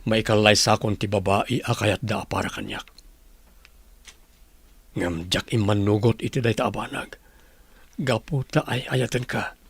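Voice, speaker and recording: A remorseful husband in a radio drama, pleading earnestly. His voice is creaky and rough, of lowish-middle register, with an Ilocano accent. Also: steady tone, a little slow, pauses often, no room sound, heavily acted.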